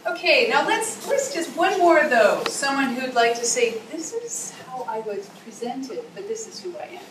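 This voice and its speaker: A woman's voice: high voice, big pitch swings, moderate pace. A woman facilitating a workshop, encouraging and calling on volunteers from the group. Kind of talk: guiding a group exercise. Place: conference workshop room. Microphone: distant room mic. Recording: echoey room, distant mic, noisy.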